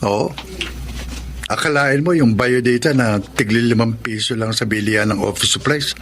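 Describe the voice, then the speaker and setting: A man's voice: medium pitch, moderate pace, a bit bright, slight background noise, close to an everyday voice. An actor playing an earnest, naive man in need of work in a Filipino radio drama, hesitant, with a Filipino accent. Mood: uneasy, resigned. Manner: hesitant, stammering when questioning.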